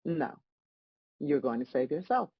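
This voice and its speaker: A woman playing God as a stern, composed judge, delivering measured, scolding lines in a dramatic script read. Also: low voice, sing-song, slightly rough, no echo, highs cut off, full character voice. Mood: firm, measured.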